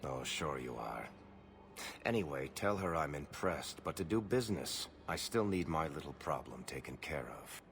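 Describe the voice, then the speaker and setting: A smug mercenary leader in a sci-fi game. His voice is rock-bottom deep and full of gravel. He talks with a mocking sneer over faint background noise. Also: animated, normal speed, fairly bright tone, full character voice. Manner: sneering, smooth-talking, mocking. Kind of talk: video game acting.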